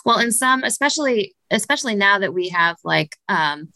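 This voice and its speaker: Bright-toned woman explaining in measured steps. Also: medium pitch, animated, dry recording. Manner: measured, explanatory, frequent "um" fillers. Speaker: studio director. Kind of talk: podcast interview answers.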